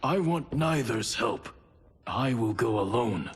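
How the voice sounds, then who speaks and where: An actor playing a stern, distrustful lone warrior in a video game cutscene, with a curt delivery. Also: male voice, mid-range voice, animated, slow, slightly rough. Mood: distrustful, resolute.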